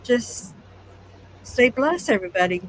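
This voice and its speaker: A woman chatting casually as a podcast host, speaking in a halting way. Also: a little high, expressive, slight background noise, low-quality recording. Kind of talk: casual chat, show sign-off.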